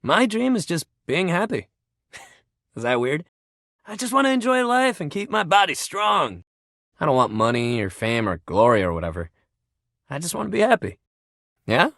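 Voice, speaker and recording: Hugely animated friendly jock bro. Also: male voice, a little high, moderate pace, creaky, pauses often, no echo, studio quality, exaggerated voice. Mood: earnest, reflective. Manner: casual bro-like talk, emphatic and expressive. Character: upbeat, friendly, sensitive young male sports jock. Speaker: video game voice actor. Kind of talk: video game character dialogue.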